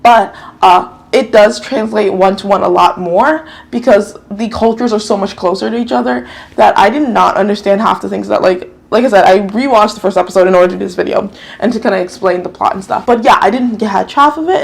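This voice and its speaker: A woman's voice: medium pitch, vocal fry. A woman from New York whose first language is Japanese, chatting casually through a drama review, rambling, correcting herself and swearing now and then.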